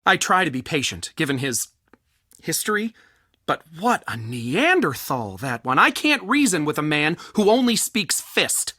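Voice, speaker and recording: A man playing a fussy, excitable, scholarly culture center organizer in a video game, with polite but theatrical, easily flustered delivery. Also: a little high, measured pace, dry recording, clean studio sound, exaggerated voice. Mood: indignant, wry. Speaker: game character, festival organizer. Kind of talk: video game character dialogue.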